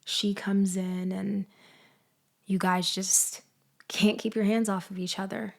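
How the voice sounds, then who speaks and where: A woman playing a betrayed, vengeful ex-girlfriend in an audio roleplay monologue, taunting with deliberate, condescending delivery. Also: medium pitch, little pitch movement, vocal fry. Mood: bitter, controlled. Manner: slow, deliberate, condescending, with sighs and pauses. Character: betrayed, vengeful ex-girlfriend confronting her framer, coolly taunting. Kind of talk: girlfriend ASMR-style dramatic roleplay monologue.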